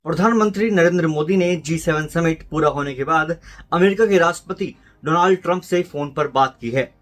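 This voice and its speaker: A man's voice: on the high side, moderate pace, a bit bright. A man with an Indian accent narrating a scripted news report as a voice-over. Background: background music.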